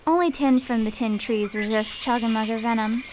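Woman playing a bold, high-voiced young warrior woman. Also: fairly even intonation, background noise, close to an everyday voice. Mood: proud. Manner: confident, brisk, bargaining. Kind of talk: theatrical radio drama acting.

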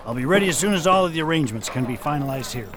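A man's voice: fairly high pitch, expressive, very fast, fairly bright tone, a little hiss or hum. An actor playing a loyal male frontier sheriff in a Western radio drama, plainspoken and modest. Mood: friendly. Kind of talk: amateur radio drama acting.

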